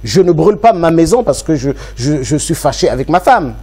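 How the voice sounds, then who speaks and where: A man's voice: a little high, sing-song, a little fast, mellow, slightly rough. A man from Congo, an opposition politician speaking in an interview, making his points emphatically with rhetorical repetition. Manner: emphatic, rhetorical, repeats phrases for effect.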